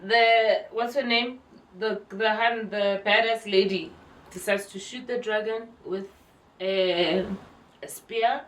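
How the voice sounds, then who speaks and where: A woman co-hosting a casual TV chat show, talking in animated slang and repeating words for emphasis. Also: medium pitch, slow pace. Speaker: podcast co-host. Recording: roomy echo, distant mic, faint noise.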